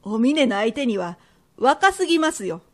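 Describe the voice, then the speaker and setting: An indignant merchant's wife of old Japan, played by a woman in a period radio drama. Her voice is high, and her speech is sharp-tongued, old-fashioned and fiercely protective. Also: big pitch swings, moderate pace. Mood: protesting.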